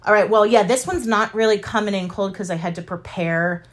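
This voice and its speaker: A woman's voice: mid-range voice, brisk. A woman co-hosting a podcast with casual, teasing banter. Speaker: podcast co-host.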